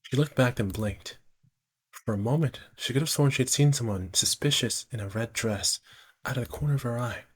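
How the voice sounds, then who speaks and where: A man live streaming, reading visual novel narration aloud in a halting way, often stumbling and repeating phrases. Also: medium pitch, breathy edge.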